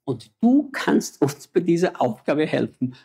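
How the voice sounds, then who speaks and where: A man's voice: fairly high pitch, sing-song, a little slow, gravelly, darkish tone, slightly rough. A man, a missionary preacher and native German speaker, talking with emotional, urgent exhortation in a conversation.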